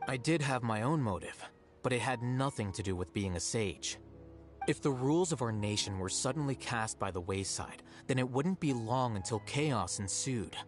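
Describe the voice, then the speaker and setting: A man playing an aloof, self-assured young scholar in a video game, coolly logical, measured and dry. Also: medium pitch, animated, close to an everyday voice. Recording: game music under the voice.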